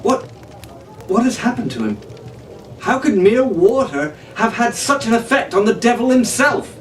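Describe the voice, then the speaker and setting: A man's voice: very high-pitched, very animated, normal speed, pitched above the performer's own voice. A man voicing an alarmed, formal young nobleman swordsman with a demanding manner. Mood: shocked, demanding. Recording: roomy, distant, noisy home recording.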